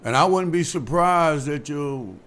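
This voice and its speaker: A man reading the part of a doctor in a table read, calm and advising. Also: on the high side, unhurried. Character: doctor, and a concerned husband.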